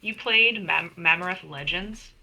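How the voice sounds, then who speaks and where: A woman playing a blunt, exasperated female investigator in a tabletop game, halting and sweary. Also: lowish voice, creaky, sharp tone, a little hiss or hum, heavily acted, low-quality recording. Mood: blunt, puzzled.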